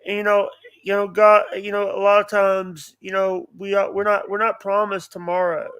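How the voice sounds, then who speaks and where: Man, high-pitched voice, halting repetitive delivery, casual guest chat, dry sound. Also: little pitch movement, fairly bright tone, smooth, dry recording, rough recording. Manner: halting, repeats phrases over and over. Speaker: livestream show guest.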